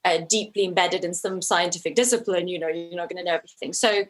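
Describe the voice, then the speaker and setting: A woman's voice: fairly low pitch, fairly even intonation, fast talker. A woman from Ukraine speaking as an interview guest, a documentary filmmaker who answers conversationally and thoughtfully, often restarting her phrases.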